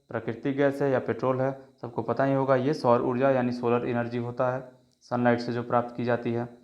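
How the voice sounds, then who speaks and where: A man's voice: mid-range voice, dull audio. A man with a sore throat teaching an exam prep lesson, reading out each multiple choice question and its options before giving the answer. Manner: reads options then gives the answer, many filler checks.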